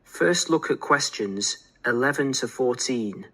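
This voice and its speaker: A man reading formal scripted test instructions as an exam announcer, in a measured, instructional way. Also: medium pitch, expressive, sharp tone, husky, low-quality recording.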